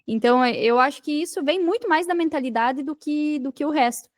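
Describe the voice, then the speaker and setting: Brazilian woman on a podcast, really high voice, dry close sound, emphatic, chatty. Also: brisk, no room sound. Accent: Brazilian Portuguese. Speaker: podcast guest, digital marketing entrepreneur. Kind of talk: conversational interview storytelling.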